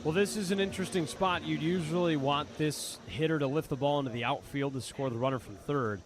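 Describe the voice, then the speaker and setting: A man's voice: on the high side, a little fast. A man giving conversational, explanatory color commentary during a live baseball broadcast. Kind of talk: live analytical sports commentary. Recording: clear ambient ballpark noise.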